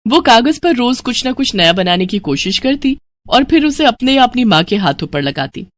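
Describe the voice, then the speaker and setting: A woman's voice: a little high, sing-song, normal speed, dry recording. A woman narrating a scripted moral story as a storyteller.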